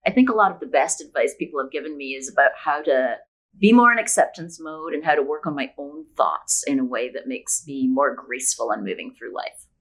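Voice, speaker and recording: A woman's voice: deep voice, expressive, fairly quick, rough recording. A middle-aged woman from Scotland answering interview questions as a guest, talking conversationally and reflectively, explaining things with examples. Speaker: guest, novelist.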